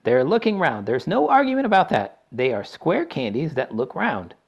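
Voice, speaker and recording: A man reading aloud puts on an excitable, eccentric candy-factory owner from a storybook. He is quick to order people along and gleefully theatrical, with a raised, loud voice that leaps all over the scale. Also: on the high side, creaky, husky, voice pushed up, exaggerated voice. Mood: brisk. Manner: brisk, theatrical, bossy. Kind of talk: audiobook character voice.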